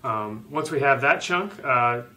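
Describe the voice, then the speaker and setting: A man's voice: mid-range voice, expressive, measured pace, bright, forceful tone. A man speaking as an interview guest, explaining his work informally with somewhat hesitant phrasing. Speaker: guest, game animator. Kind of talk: casual explanatory interview talk.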